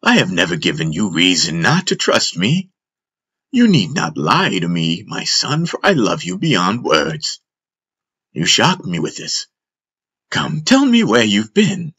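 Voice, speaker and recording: A man playing an elderly, loving father and chieftain in a fantasy audiobook, gentle and earnest. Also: low-pitched, wildly swooping pitch, unhurried, slightly rough, exaggerated voice. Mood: hurt, tender. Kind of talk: audiobook character acting.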